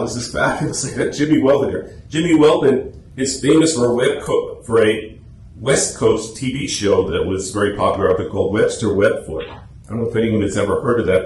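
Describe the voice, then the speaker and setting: Guy with a lowish voice giving a chatty club talk, roomy echo, bit of noise. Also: fairly quick, a little mellow. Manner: chatty, anecdotal. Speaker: club presenter and radio script writer. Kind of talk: informal presentation to a club meeting. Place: meeting room, speaking to a small audience. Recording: echoey room with faint noise.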